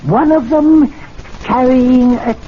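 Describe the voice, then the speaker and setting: An actor playing an excitable, aggrieved club servant with a spiteful streak in a 1970s radio mystery drama, theatrical, muttering and exclaiming. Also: male voice, very high-pitched, takes it slowly. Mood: gleeful. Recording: dull, noisy 1970s radio recording.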